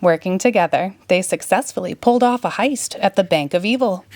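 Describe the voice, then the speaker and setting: A woman narrating a children's story in a clear, expressive read-aloud manner. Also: medium pitch, a little fast, no room sound. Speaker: storybook reader.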